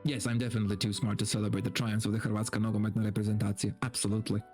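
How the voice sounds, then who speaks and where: A man's voice: on the low side, flat delivery, racing, darkish tone, faint music behind. A man doing a dramatic story reading for a stream, mock-serious and breaking into incredulous, sweary commentary.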